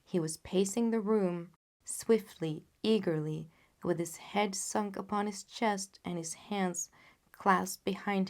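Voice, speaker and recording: A woman reading classic fiction aloud as scripted audiobook narration. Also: on the low side. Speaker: audiobook reader.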